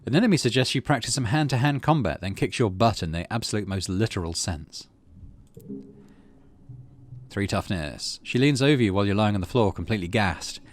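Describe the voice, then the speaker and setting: A man's voice: on the low side, lively intonation, brisk. A middle-aged man giving casual game commentary, muttering drily to himself and reading bits of narration aloud. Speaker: gaming channel host.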